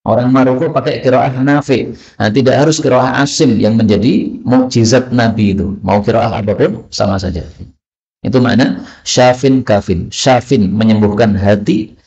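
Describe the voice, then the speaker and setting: A man with a gravelly, fry-laced voice at an ordinary pitch leads a religious study lesson. He works through texts line by line, often asking his listeners a question and then answering it himself. The recording sounds muffled and lo-fi. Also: moderate pace, darkish tone. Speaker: Islamic teacher. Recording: dull, lo-fi recording.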